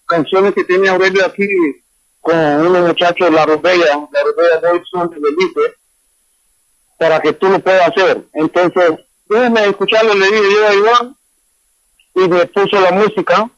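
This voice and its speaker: A man's voice: high voice, fairly even intonation, unhurried, fairly bright tone, dry recording. A man from Honduras, a guest musician, telling his story casually in an online class interview, rambling with many fillers over a remote call. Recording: dry, dull lo-fi call audio.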